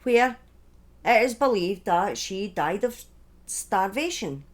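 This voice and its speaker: A woman narrates true crime as if chatting to followers. Her intonation is very lively, moving widely up and down. She breaks her phrases up and steps out of the script for informal, opinionated asides. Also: mid-range voice, slow. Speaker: true-crime storyteller, streamer. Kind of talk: conversational true-crime storytelling, part scripted.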